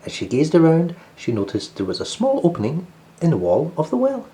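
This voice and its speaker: A man narrating a children's storybook as host, in an expressive read-aloud style. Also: a little high, a little fast, rough recording.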